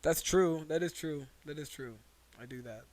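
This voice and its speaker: High-voiced man in slangy comedic chat. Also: normal speed, a crowd in the background. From United States. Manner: slangy, bro-talk, repeats phrases. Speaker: comedy podcast co-host.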